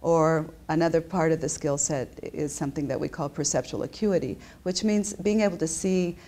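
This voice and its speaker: Woman professor, low voice, grew up in japan, explaining research, seated interview in an office, restarts and fillers. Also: normal speed, mellow. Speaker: business school professor. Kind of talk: interview answers. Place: indoor office.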